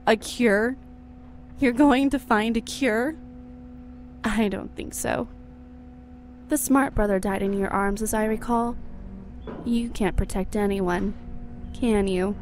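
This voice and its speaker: A woman's voice: mid-range voice, takes it slowly, pauses often, a little mellow, slight background noise, lightly acted. An actress playing a cruel, seductive vampire ex-wife in an audio drama, mocking and theatrical, with music behind her. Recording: music bed under the voice.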